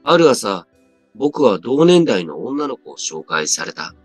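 A man's voice: medium pitch, very animated, slow, dry recording. A man reading a scripted first-person story as a dramatic narrator. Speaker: story reader. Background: background music.

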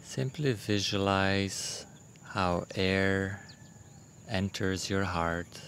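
A low-pitched man guides a meditation very slowly and gently. He leaves long pauses between short, soothing instructions. A faint chirr of crickets and insects runs behind his voice. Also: steady tone, slight background noise.

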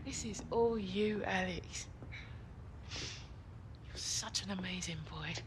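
A woman's voice: mid-range voice, takes it slowly, noisy background, lightly acted. An actress playing a proud, tender mother in a video game cutscene.

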